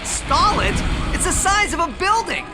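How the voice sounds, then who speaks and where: An actor voicing a plucky young alien hero in a video game, sarcastic and exasperated. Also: male voice, extremely high pitch, expressive, unhurried, loud music behind the voice. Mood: wry defiance.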